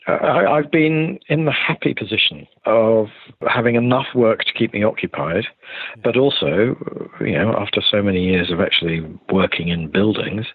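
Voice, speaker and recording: A man's voice: mid-range voice, vocal fry. An older man being interviewed as a theatre director on a remote podcast call, talking in a hesitant, rambling way with restarted sentences. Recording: roomy, thin phone-like call audio.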